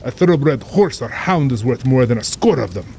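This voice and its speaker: Over rain and ambience comes a middle-aged aristocratic general in a radio-style drama. He is urbane and cold-blooded, with formal diction and a pompous, amused air. His voice sits at a medium male pitch. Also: lively intonation, moderate pace, gravelly, mellow, a little hiss or hum. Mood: cold, menacing. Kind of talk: dramatized audiobook acting.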